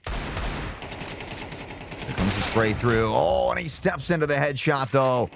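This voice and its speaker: A male esports caster with a slightly sore throat, calling play-by-play and erupting into loud shouts on big plays. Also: mid-range voice, expressive, unhurried, vocal fry, noisy background.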